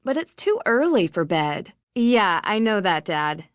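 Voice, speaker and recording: A woman playing a meticulous, inquisitive young amateur detective in a video game, speaking in a measured, articulate way. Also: medium pitch, very animated, smooth, no room sound, clean studio sound. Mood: musing, friendly. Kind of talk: scripted video game voice acting.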